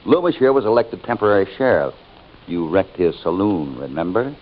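A man playing a calm, assured cowboy hero in an old radio western, unruffled, wry and authoritative. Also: deep voice, fairly quick. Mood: relaxed, explaining. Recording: muffled old radio recording.

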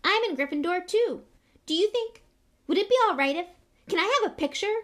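An eager young schoolboy, acted out by a woman narrator, pitched well up high. He gushes breathlessly and excitably, a starstruck little fan from a storybook with lively swings in his voice. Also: a little fast. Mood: nervous, hopeful.

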